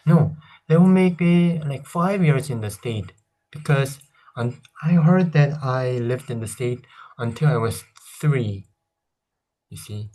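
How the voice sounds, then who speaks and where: Korean accent guy in his 30s, talks slow, keeps correcting himself. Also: medium pitch, animated, warm tone, low-quality recording. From South Korea. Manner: rambling, hesitant, self-correcting. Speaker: vlogger. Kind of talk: casual console review vlog.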